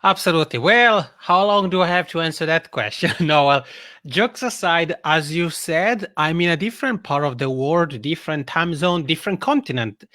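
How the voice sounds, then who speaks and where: A man's voice: fairly high pitch, bright, forceful tone, no room sound. Swiss-Italian man, dry close sound. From Italian-speaking Switzerland. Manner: articulate, measured, explains in lists. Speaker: podcast guest, podcasting consultant. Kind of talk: interview answers.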